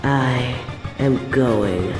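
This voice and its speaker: Evil queen: possessive, cruel, theatrical, unhurried, echoey sound over music, audio roleplay. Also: rumbling low, exaggerated voice. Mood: sultry, threatening. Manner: theatrical, menacing, mock-tender, drawn-out phrasing. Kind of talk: scripted audio roleplay drama, addressed to the listener.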